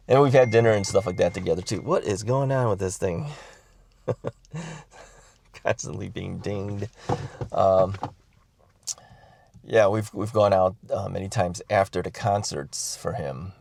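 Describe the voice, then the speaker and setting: A man's voice: low voice, vocal fry, a little mellow, no room sound. A middle-aged man from the Chicago area chatting casually to camera, rambling with many fillers, restarts and chuckles. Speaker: vlogger.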